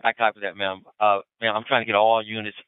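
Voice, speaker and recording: A man dispatching over a tinny, narrowband police radio. His voice is clean and fairly low, and he talks rapidly in clipped, procedural phrases, repeating each order for all units. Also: level tone, smooth, no room sound. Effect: police radio transmission.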